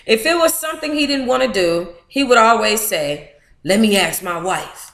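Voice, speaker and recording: An American woman reads aloud as a book club host in an echoey room. Her delivery is informal and animated, moving between narration and playful, blunt asides. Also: fairly high pitch, moderate pace. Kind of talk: informal read-aloud with candid, profane asides.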